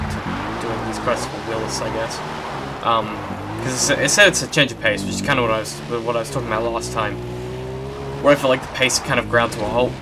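A man hosting casual gameplay commentary, hoarse from losing his voice, rambling with self-corrections. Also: medium pitch. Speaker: gameplay host. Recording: loud game audio under the voice.

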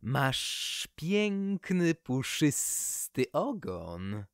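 A narrator voicing a small, gentle male grass snake with polite, drawn-out, careful speech in a children's story. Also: medium pitch, sing-song, dry recording, studio quality, pitched above the performer's own voice, exaggerated voice. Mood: admiring.

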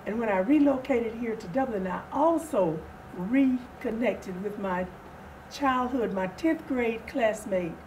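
An older, retired woman telling stories as an interview guest, speaking in deliberate, halting phrases. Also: on the high side, darkish tone. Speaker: theater group co-founder, interview guest. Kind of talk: interview storytelling. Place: small furnished indoor sitting-room set. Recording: distant mic, noisy room ambience.

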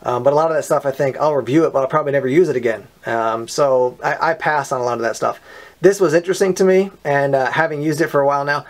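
A man giving a relaxed, step-by-step product demonstration outdoors, explaining as he goes with casual fillers. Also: mid-range voice, quick pace. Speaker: product reviewer. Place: outdoors on a paved patio.

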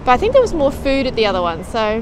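A woman's voice: high-pitched, wildly swooping pitch, rapid-fire, noisy background. A woman in her thirties hosting a casual travel vlog, chatty and upbeat, talking to camera on a lapel mic at outdoor Christmas markets. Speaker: travel vlogger host. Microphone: small lapel mic. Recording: outdoor market with loud music and crowd. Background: loud music and crowd chatter.

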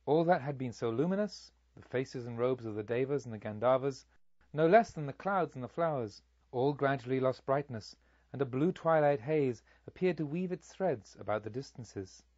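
A man reading literary narration as an audiobook, in a solemn, measured storytelling manner. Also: mid-range voice, animated, darkish tone, no room sound, highs cut off. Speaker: audiobook reader.

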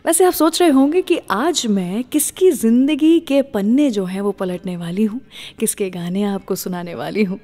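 A woman's voice: mid-range voice, a little mellow. Indian woman radio host in long reverb. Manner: mixes English phrases into speech, teases with questions. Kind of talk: conversational scripted radio presenting.

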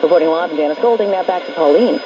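A woman delivers a live news report as a field reporter. Her voice comes through muffled, lo-fi radio reception with clear noise. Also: on the low side, racing.

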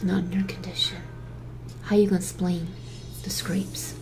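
A woman's voice: fairly low pitch, flat delivery, normal speed, a little mellow, faint music behind, voice pushed down, close to an everyday voice, rough recording. Woman voicing a firm, protective young male hero. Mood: stern concern. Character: stern, protective young male superhero in love, teasing. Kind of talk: fan audio drama acting.

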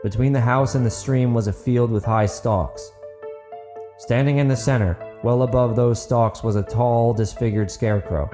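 A man's voice: medium pitch, steady tone, moderate pace, over music. A man reading dramatic fiction as an audiobook narrator, in a scripted storytelling style.

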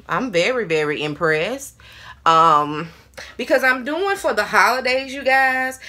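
A woman's voice: mid-range voice, sharp tone. A woman in her thirties casually reviewing a hair tool while styling her hair in a small bathroom, chatting to viewers as y'all with playful asides. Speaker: hair vlogger. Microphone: camera in front, hands busy. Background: hair dryer running.

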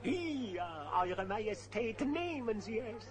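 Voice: medium pitch, very animated, takes it slowly. Jemand spielt einen hämischen, theatralisch drohenden Schurken in einem Kinderhörspiel, der den Thron an sich reißen will. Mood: slyly coaxing. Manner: gloating, bellowing theatrical threats. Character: gloating villain plotting to seize the throne. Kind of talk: children's cartoon audio drama. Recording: noisy old cassette recording.

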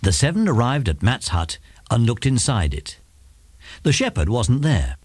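A man's voice: mid-range voice, sing-song, measured pace, darkish tone, slightly rough, dry recording. A man narrating a children's story from a script.

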